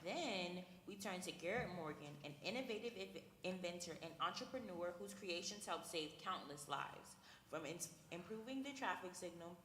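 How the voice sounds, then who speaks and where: Bright-voiced woman hosting a church skit. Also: lowish voice. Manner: reads from a script with small slips. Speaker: talk show host in a church skit. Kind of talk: scripted talk show hosting. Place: church hall. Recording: echoey hall with long reverb, faint noise.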